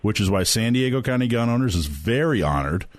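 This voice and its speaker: A man hosting a radio talk show, introducing a guest in a measured way. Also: on the low side, expressive, dry recording. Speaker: radio host. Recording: dry studio mic.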